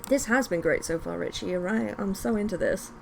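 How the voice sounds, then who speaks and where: A woman's voice: medium pitch, brisk, gravelly. Woman game streamer, noisy background. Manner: chatty, reacting and theorising aloud. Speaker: streamer playing a visual novel. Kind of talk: live game commentary with read-aloud narration.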